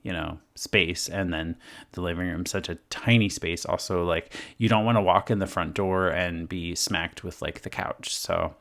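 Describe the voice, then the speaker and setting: A man with a hoarse voice after being sick, giving a rambling, casual voice-over commentary full of fillers as a gaming creator. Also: deep voice, steady tone, normal speed, a bit bright. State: hoarse from illness.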